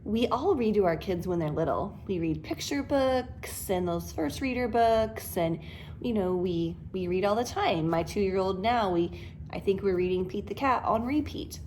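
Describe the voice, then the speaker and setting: A woman's voice: mid-range voice, expressive, moderate pace, a little mellow, slight background noise. A woman in her thirties with an American accent, talking casually and enthusiastically in a homeschooling mom's vlog. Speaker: homeschooling mother and vlogger. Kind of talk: casual vlog talk to camera.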